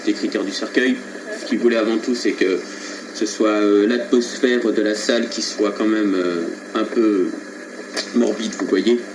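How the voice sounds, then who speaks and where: Man, prank caller, fairly low pitch. Deadpan, hesitant, sincere-sounding delivery over a poor, noisy telephone recording. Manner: hesitant, halting, feigned seriousness. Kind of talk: prank phone call, deadpan earnest. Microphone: telephone line.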